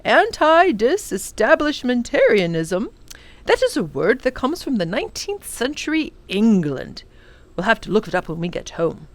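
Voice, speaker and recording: A woman's voice: a little high, very animated, moderate pace, no room sound. A woman voicing a kindly, learned elderly male professor in a children's storybook, exclaiming and savouring long words. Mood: instructive. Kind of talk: children's storybook character reading.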